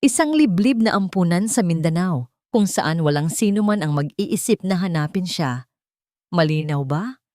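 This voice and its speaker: A woman's voice: fairly low pitch, lively intonation, normal speed, darkish tone, no echo, clean studio sound, natural voice. A woman voicing a scheming, cold-hearted rich stepmother whose sweet voice hides steel, in an audio story. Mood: cold commanding. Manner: sweet on top, icy and commanding underneath.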